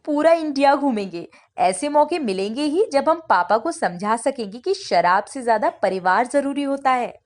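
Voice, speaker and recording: A woman voicing a weary, loving middle-aged mother in an animated story, tired and placating. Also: sits high, normal speed, hardly any pauses. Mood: coaxing. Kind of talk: animated story dialogue acting.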